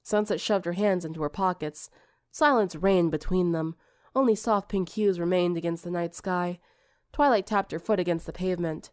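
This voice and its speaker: A woman's voice: lowish voice, normal speed, darkish tone, no echo. Woman narrating a story, very dry sound. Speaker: audiobook narrator.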